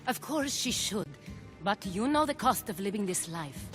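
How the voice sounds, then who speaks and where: An actress playing a composed Athenian woman who answers blame calmly and firmly. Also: medium pitch, moderate pace, faint music behind, close to an everyday voice. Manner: calm, firm, defensive.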